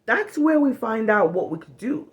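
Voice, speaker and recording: An animated woman in her thirties from Cameroon talks straight to her phone camera in a small bedroom. She is frank and blunt, swears freely, and now and then acts out short quoted lines in other voices. The sound is close and casual, like a phone held at arm's length. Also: on the high side, moderate pace. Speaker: vlogger. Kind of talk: casual talk to camera on trauma healing.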